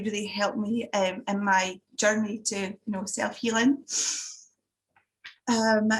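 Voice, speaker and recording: A woman's voice: mid-range voice, poor audio. A woman in her thirties, originally from Italy, sharing her personal story on a video call with many hesitations and restarts. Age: 30s. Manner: hesitant, frequent fillers and restarts. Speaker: summit speaker. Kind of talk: personal testimony talk.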